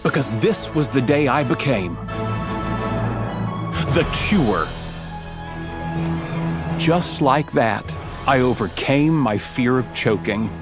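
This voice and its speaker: Brief: a dry, precise adult sitcom narrator, mid-pitched, with music under it. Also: male voice, lively intonation, slow, long pauses. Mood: wry. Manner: dry, wry, precise delivery. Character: adult male narrator recalling his childhood, dryly. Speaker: adult narrator looking back. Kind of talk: scripted sitcom voice-over narration. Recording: voice-over with clear music behind.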